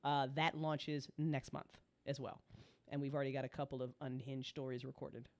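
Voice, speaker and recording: A woman's voice: very deep voice, level tone, normal speed, dry recording. A woman hosting a casual after-show chat and promoting an audio drama network.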